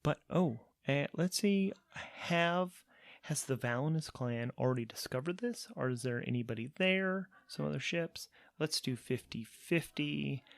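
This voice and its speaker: A man's voice: fairly high pitch, expressive, a little slow, vocal fry, no room sound, clean studio sound. A man narrating a solo tabletop role-playing game as host and player, thinking aloud between casual rules talk and storytelling.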